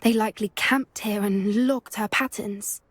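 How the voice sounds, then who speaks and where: A woman voicing an earnest, determined teenage witch in a video game, with a British accent and an articulate, quietly intense manner. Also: medium pitch, steady tone, a little slow, no room sound, natural voice. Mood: earnest, focused. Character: earnest, determined teenage witch schoolgirl who loves creatures. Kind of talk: cinematic game dialogue acting. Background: background music.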